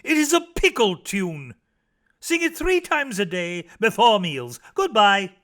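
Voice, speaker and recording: A man's voice: sits high, sing-song, slow pace, fairly bright tone, heavily acted. A man voicing a pompous, matter-of-fact doctor in a storybook, handing down pronouncements in a declarative way.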